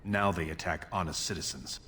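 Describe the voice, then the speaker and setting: An actor playing an ambitious dwarf prince in a video game, speaking formally and with resolute authority. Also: male voice, low voice, fairly quick, sharp tone, a little hiss or hum. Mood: measured, grave. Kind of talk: video game character dialogue.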